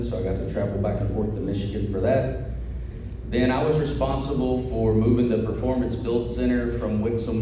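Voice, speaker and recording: A man in his forties with a Kentucky accent, giving a folksy, plain-spoken presentation to an audience. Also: fairly low pitch. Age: 40s. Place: large echoey presentation room. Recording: very echoey room, distant, noisy.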